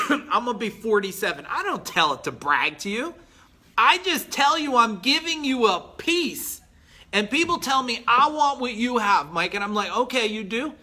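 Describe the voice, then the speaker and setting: A man in his forties giving a blunt, emphatic motivational talk as a business coach on a live stream, clearing his throat now and then. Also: extremely high pitch, sing-song, normal speed, sharp tone. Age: 40s.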